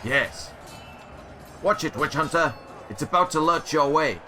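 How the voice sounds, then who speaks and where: Dude doing a fierce captain yelling mid fight, voice jumps around a lot, loud score under it. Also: male voice, a little high, animated, measured pace, faint music behind, heavily acted. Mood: tense. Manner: strained battle cries. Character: brave male guard captain in battle.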